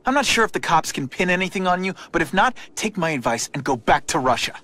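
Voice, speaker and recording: An actor playing a wisecracking, fearless young American superhero in a video game, glib and taunting. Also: male voice, on the high side, sing-song, quick pace, sharp tone. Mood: cocky, wry. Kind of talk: video game cutscene voice acting.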